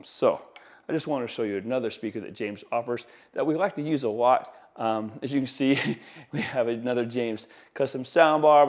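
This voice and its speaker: Enthusiastic man presenting products casually. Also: medium pitch. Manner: enthusiastic, folksy salesman's patter with colloquial catchphrases. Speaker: audio installer presenting products. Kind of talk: casual product demonstration to camera.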